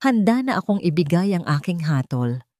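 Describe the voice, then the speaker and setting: A woman voicing a calm, authoritative female judge in an audio story, measured, formal and firm. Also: lowish voice, no echo, studio quality. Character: calm, authoritative female family-court judge delivering a verdict.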